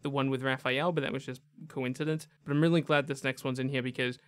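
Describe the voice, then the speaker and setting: Chatty young man, medium-pitched gaming host. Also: fairly even intonation, fast, clear, resonant tone. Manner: chatty, slangy, effusive. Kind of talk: casual enthusiastic commentary.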